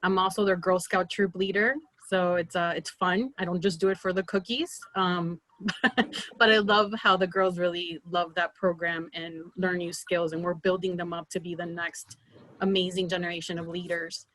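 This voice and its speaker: A woman in her thirties, from Peru, making an earnest campaign pitch on a video call with many fillers and restarts. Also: flat delivery, normal speed, fairly bright tone, low-quality recording. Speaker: political candidate.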